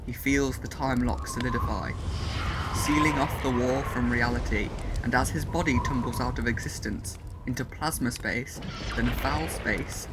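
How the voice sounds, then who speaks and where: A man narrating a dramatic science-fiction story, measured and building to loud, intense passages. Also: medium pitch, over loud music, low-quality recording.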